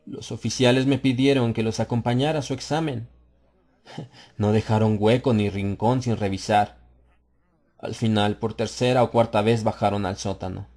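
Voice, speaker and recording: A man reading a horror story as an audiobook narrator, theatrical, building tension with swells in pitch and loudness. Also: fairly even intonation, moderate pace. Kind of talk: dramatic scripted narration.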